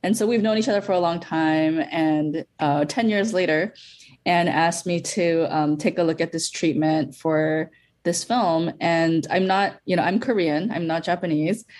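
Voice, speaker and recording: A woman producer from Bolivia who grew up in Los Angeles talks in a podcast interview. Her voice is low and her speech is casual, full of fillers and "like". She sounds relaxed in conversation. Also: unhurried. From Bolivia, raised in Los Angeles. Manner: casual, filler-heavy, frequent "like". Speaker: film producer.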